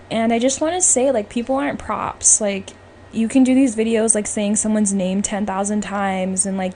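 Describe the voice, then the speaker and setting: A woman's voice: on the high side, darkish tone. A woman hosting a podcast, talking casually and emphatically as she gives her opinions. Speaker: podcast host. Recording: clearly noisy background.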